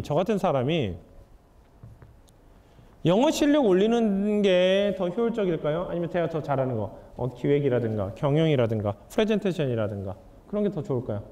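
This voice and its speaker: A man's voice: a little high, warm tone. A young adult man giving a lecture, asking the audience questions in a candid, conversational way. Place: lecture hall. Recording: hall reverb.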